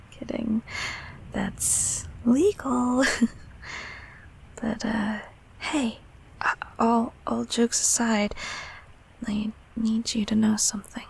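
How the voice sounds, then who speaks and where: A woman voicing a gentle, protective childhood closet monster in a comfort audio roleplay, speaking haltingly in a breathy, raspy whisper. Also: on the high side, heavily acted. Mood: joking. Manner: halting, soothing, softly spoken.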